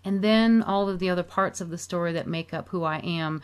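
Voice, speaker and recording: A middle-aged woman talks about her book on a radio interview, earnest and heartfelt. Her voice sits somewhat low for a woman, and she restarts a phrase now and then. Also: brisk, clear, resonant tone. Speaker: author and musician promoting her book. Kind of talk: casual radio interview chat.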